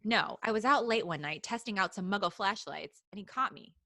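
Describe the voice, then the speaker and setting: A woman's voice: mid-range voice, expressive, fairly quick, pushed and bright, no room sound, studio quality. A woman co-hosting a podcast, reading a story aloud in a casual, playful way and breaking off often for banter. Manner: playful, giggly, digresses from the reading. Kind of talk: casual read-aloud of fan fiction with banter.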